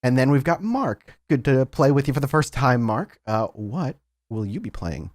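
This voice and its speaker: A man's voice: mid-range voice, big pitch swings, moderate pace, no room sound, studio quality. A man hosting and narrating a tabletop roleplaying game as storyteller, with a dramatic, descriptive manner.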